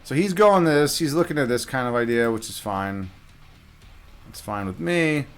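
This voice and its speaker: A man's voice: medium pitch, lively intonation, normal speed, smooth. Man thinking aloud over music. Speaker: live chess streamer. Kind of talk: casual live game commentary, thinking aloud.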